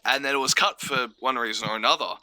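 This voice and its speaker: Very bright, forceful man chatting as guest. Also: mid-range voice, fast, no echo, poor audio. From Australia. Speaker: voice actor being interviewed. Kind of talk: casual interview chat.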